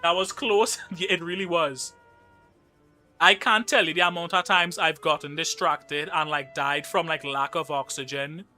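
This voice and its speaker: A young man from Trinidad and Tobago with a Trinidadian accent chats loosely while playing a game on stream. His voice sits a little high and rings very bright. He jokes and reads viewer messages aloud over faint game music. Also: steady tone, normal speed. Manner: chatty, joking, reads chat aloud. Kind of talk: casual live-stream gaming chat.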